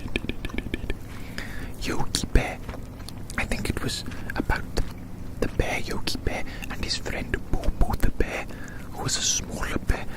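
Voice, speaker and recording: A person in their thirties whispering gently and unhurriedly in an ASMR style, rambling and repeating phrases over and over. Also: low-quality recording. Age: 30s. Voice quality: soft unvoiced whisper. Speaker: ASMR host. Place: outdoors.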